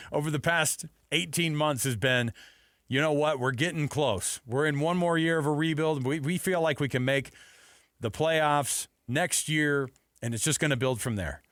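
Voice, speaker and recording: A man hosting a sports radio show, talking casually in an animated, enthusiastic, conversational way. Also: fairly high pitch, no room sound, studio quality.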